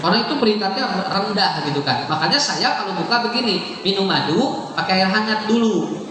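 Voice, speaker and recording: A man's voice: high voice, expressive, brisk, bright, forceful tone. Male preacher, Sundanese accent, humorous chatty delivery; distant, reverberant hall recording. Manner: chatty, joking, mixing in local words, laughs often. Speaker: Islamic preacher. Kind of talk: lively humorous sermon to a congregation. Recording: echoey hall, far from the mic, noisy.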